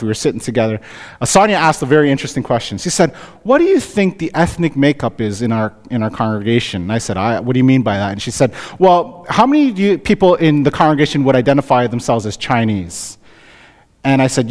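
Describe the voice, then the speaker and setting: A man's voice: medium pitch, long reverb tail. A Canadian man, a pastor, delivering a conversational sermon to his congregation, often asking "right?". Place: church sanctuary.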